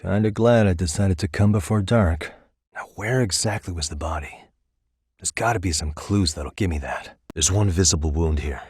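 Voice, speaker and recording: A man voicing a sharp, level-headed private detective in a video game, with a dry, matter-of-fact manner. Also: deep voice, normal speed, slightly rough, no room sound. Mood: calm, inquisitive. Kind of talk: English game voice acting, detective dialogue.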